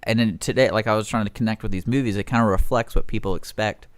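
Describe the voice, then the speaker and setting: A man's voice: on the low side, fairly even intonation, quick pace, clean tone, no echo. A man co-hosting a radio show, bantering casually with a wry, teasing manner. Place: community radio studio.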